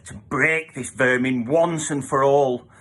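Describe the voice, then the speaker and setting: A man's voice: mid-range voice, fairly even intonation, takes it slowly, a bit bright. A man reading a children's story aloud as a theatrical narrator, with emphatic sound-effect words. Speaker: storybook reader.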